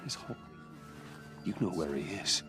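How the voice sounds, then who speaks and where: An actor playing a guarded, serious man in a video game, speaking in a firm, measured, low-key way. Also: lively intonation. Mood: stern, probing. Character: guarded, serious wealthy man, controlled and probing. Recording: music behind voice.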